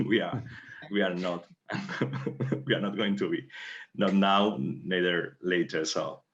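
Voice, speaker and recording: A Spanish man with a Spanish accent, a playwright answering panel questions in halting second-language English. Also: sits low, fairly bright tone, lo-fi sound. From Spain.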